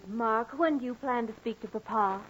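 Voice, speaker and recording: Actress as a spoiled, coaxing young sweetheart, dull recording. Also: female voice, on the high side, moderate pace, loud music behind the voice, close to an everyday voice. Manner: coaxing, playfully commanding. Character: charming, spoiled, insistent young rich woman in love. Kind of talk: 1940s radio drama acting. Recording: dull old radio recording.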